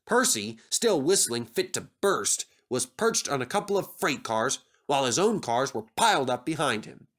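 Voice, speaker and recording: A man's voice: medium pitch, fairly bright tone. A man reading a scripted children's story aloud as the narrator.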